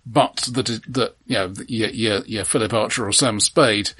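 Slightly husky man, measured and analytical. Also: medium pitch, a bit bright. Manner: measured, analytical, halting repetitions. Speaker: film podcast co-host. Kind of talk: casual film discussion.

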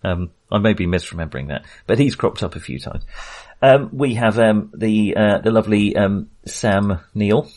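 A middle-aged man co-hosting a film podcast, talking casually and haltingly, often restarting his sentences. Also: lowish voice, darkish tone. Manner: hesitant, halting delivery with false starts; chuckles often while talking.

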